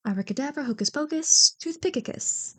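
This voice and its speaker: A woman's voice: sits high, animated, a little fast, fairly bright tone, dry recording. A woman acting a playful, teasing young childhood friend in a casual, joking ASMR roleplay. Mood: playful, reassuring. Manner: bubbly, joking, playfully bantering. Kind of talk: ASMR roleplay, one-sided casual conversation.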